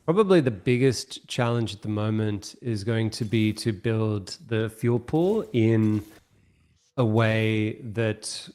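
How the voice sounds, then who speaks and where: A man leading a project, giving thoughtful, measured answers at an online town hall and slowing down to explain things. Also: lowish voice, darkish tone. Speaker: project lead answering questions.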